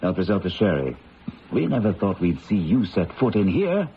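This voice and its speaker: A man's voice: deep voice, sing-song, moderate pace. An actor playing a breezy, unruffled skeptical man with a wry, reasoning manner in a radio drama. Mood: affable, wry. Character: breezy skeptical man who scoffs at ghosts. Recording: dull lo-fi old radio, faint noise.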